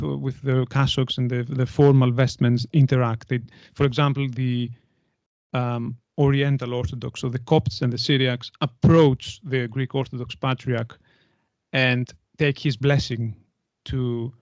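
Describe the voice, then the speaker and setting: A man's voice: mid-range voice, dry recording, muffled recording. A man explaining things conversationally as an interview guest, in hesitant, stop-start phrases with repeated words. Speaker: podcast guest, anthropologist.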